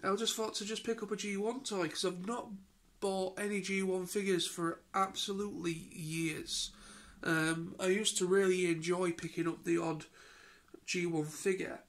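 A man's voice: high-pitched, fairly even intonation, fairly bright tone. A man in his thirties with a British accent, tired and stuffed up from a cold, rambling casually in a daily vlog from his living room with a phone held at arm's length. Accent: British English. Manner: rambling and halting, many restarts and fillers. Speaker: vlogger. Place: living room at home, indoors. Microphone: phone camera held at arm's length.

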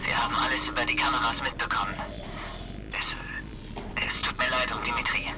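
A man's voice: mid-range voice, fairly even intonation, a little fast, background noise, close to an everyday voice. An actor playing a loyal, apologetic crewman who pilots a drone in a sci-fi horror radio drama. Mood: earnest, uneasy.

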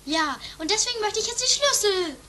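A woman's voice: very high-pitched, animated, fairly quick, sharp tone, slight background noise, exaggerated voice. A woman voicing a bossy, impatient, flustered female schemer who stammers hectically in an improvised amateur comedy radio play.

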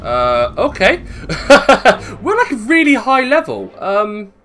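A man's voice: high voice, huge pitch swings, takes it slowly, sharp tone, faint music behind. A man giving casual, chatty gameplay commentary, thinking aloud and questioning himself as he goes. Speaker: gamer narrating a let's play.

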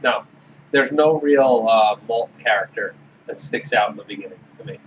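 A man's voice: medium pitch, moderate pace, distant mic. Mid-voiced man leading a beer podcast. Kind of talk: casual beer-tasting chat. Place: small room with orange walls. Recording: dull sound with faint hiss.

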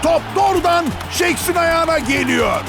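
A man voicing an excitable, bombastic football commentator in a cartoon, declaiming dramatically over loud music. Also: very high-pitched, normal speed, vocal fry. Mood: dramatic.

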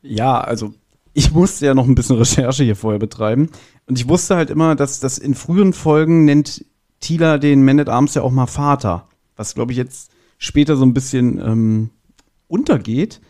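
Podcast guest, male, relaxed mellow timbre, informal review conversation. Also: medium pitch, normal speed. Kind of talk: casual review chat.

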